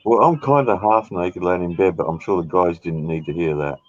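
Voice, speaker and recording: A man hosting a casual voice chat, easygoing and quick to laugh. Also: low-pitched, muffled recording.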